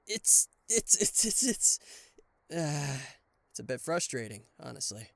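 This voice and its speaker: A man playing a charismatic, flustered king of hell as a boyfriend in an ASMR roleplay audio drama, with a theatrical, halting delivery. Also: a little high, sing-song, creaky, fairly bright tone, no echo, heavily acted. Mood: exasperated, lovestruck. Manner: theatrical, hesitant stammering, exasperated sighs.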